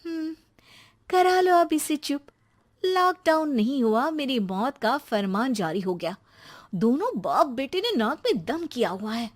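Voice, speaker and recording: A woman voicing an exasperated, sarcastic Indian mother who nags loudly and dramatically in a children's cartoon story. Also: high-pitched, sing-song, measured pace, heavily acted. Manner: sarcastic, loud nagging with dramatic exclamations. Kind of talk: animated children's comedy story.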